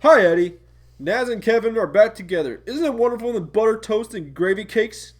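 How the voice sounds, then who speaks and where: A woman's voice: fairly high pitch, expressive, fairly quick, lightly acted. A woman voicing a cheerful, dim-witted, good-natured cartoon boy with a goofy, simple way of talking. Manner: goofy, simple, folksy exclamations.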